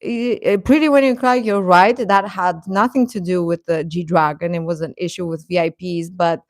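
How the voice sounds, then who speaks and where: Woman streamer w a lowish voice, dry close sound, giving opinions, kinda defensive, keeps repeating words. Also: steady tone, moderate pace, no echo. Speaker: livestream host and commentator. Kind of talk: casual opinionated live chat to viewers.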